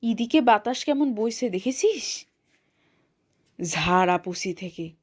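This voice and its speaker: A woman voicing a gruff, stubborn old ferryman in a rustic village dialect. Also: a little high, animated, slow, a little mellow. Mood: knowing.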